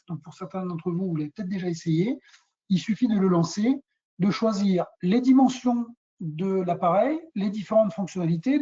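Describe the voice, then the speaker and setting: A woman's voice: medium pitch, mellow. A woman giving an unscripted product presentation in a webinar, explaining step by step and often hesitating and repeating words. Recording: dull, muffled lo-fi webinar audio.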